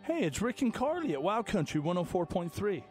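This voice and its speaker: A man's voice: sits high, very animated, a little fast, a little mellow, soft background music. A man hosting a radio morning show, interviewing a caller with friendly prompting questions.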